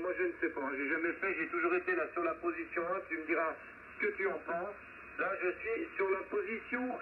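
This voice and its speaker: A high-voiced man comes through a narrow, tinny two-way radio link. He runs a ham radio round table in a methodical, chummy way, speaking into a hand mic over light noise. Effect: two-way amateur radio transmission. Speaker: amateur radio net host. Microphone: handheld radio microphone.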